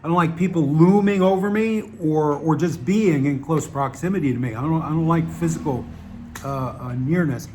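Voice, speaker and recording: A man with a Southern American accent talks to his viewers in a loose, rambling monologue. His voice sits a little high for a man, and he drifts between dry asides, fillers and self-corrections. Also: moderate pace, warm tone, slight background noise. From Southern United States. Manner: wry, digressive, many fillers and restarts. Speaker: channel host.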